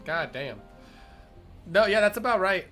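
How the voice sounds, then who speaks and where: Young male streamer, animated, bright and playful, casual banter. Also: high-pitched, faint music behind. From grew up speaking Spanish. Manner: playful, jokey, laughs a lot, quick bursts. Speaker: streamer host. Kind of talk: casual chat.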